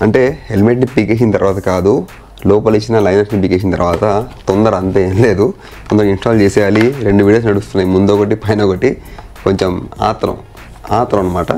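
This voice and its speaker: Man, low pitch, casual vlog walkthrough, English mixed in, noisy recording with clicks. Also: steady tone, quick pace, mellow. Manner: informal, mixes in English words and phrases. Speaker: motorcycle vlogger. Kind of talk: casual hands-on installation walkthrough.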